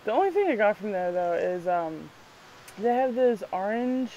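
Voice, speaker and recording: A woman whose first language is Spanish chats casually in English at a middle pitch, animated and laughing easily, dropping swear words into her stories. A faint hiss sits under her voice. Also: moderate pace, highs cut off. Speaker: vlog co-host. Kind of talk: casual chat.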